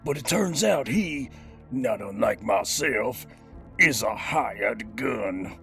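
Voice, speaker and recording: A man's voice: mid-range voice, animated, slow pace, vocal fry, fairly bright tone, soft background music, full character voice. A man voicing a cocky cybernetic gangster villain who hosts a toy review, talking in theatrical, slangy old-time mobster style. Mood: exasperated. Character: cybernetic master criminal gangster, cocky, streetwise action-figure villain. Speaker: host of a toy review show. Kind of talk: in-character scripted review monologue.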